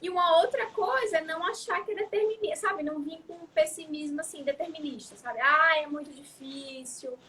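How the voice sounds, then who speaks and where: High-voiced woman, reflective chat. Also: a little fast, hardly any pauses, sharp tone, slight background noise. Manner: reflective, frequent tag questions. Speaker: psychologist guest. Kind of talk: casual interview talk.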